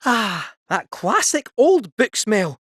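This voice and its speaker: Casting: small talking robot cat, Scottish accent, jaunty and teasing, high voice, hugely animated game dialogue. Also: male voice, slow, husky, no room sound, clean studio sound, heavily acted. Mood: chatty. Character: small cheeky talking robot cat, male, chatty and theatrical.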